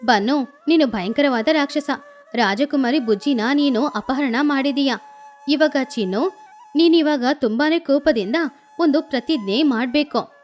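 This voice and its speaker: A woman voicing a cheerful, chatty grown-up pigeon aunt in a cartoon story, telling things with lively excitement. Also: high-pitched, a little slow, soft background music. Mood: excited.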